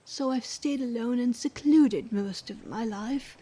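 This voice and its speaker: A woman playing a weary, embittered ex-wife living in seclusion, in a radio drama, speaking in a breathy hush with blunt resignation. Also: fairly high pitch, a little slow, poor audio. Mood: weary, bitter. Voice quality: breathy, hushed. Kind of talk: scripted radio drama dialogue.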